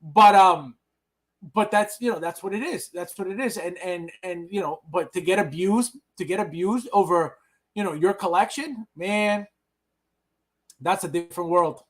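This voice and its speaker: A man from Colombia hosting a laid-back podcast, with a voice that sits notably high for a man. He rambles through his points and acts out what other people might say. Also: normal speed. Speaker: podcast host. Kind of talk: casual podcast chat.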